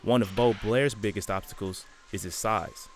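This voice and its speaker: A young man reading a scripted sports feature voice-over as student reporter, in a measured, dramatic delivery. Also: lowish voice, slight background noise. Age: young adult.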